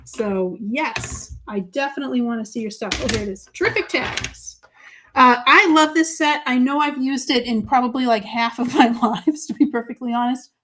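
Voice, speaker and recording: A woman's voice: on the high side, normal speed, noisy background. A woman with a Boston accent hosting a live crafting demo, chatty and gushing, talking to viewers by name. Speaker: craft show host.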